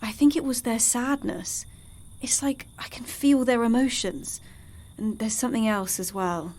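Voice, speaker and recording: A woman's voice: fairly high pitch, unhurried, darkish tone. A woman playing a sassy but compassionate member of an investigating team in an audio drama, earnest and assertive. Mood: troubled, intimate.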